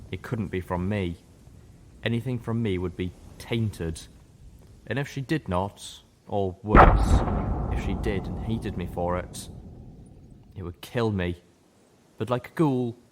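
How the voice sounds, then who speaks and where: Low-voiced man narrating a story. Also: unhurried, pauses often. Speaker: audiobook narrator. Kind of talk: dramatic scripted fiction narration. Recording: noticeable background noise with low rumble.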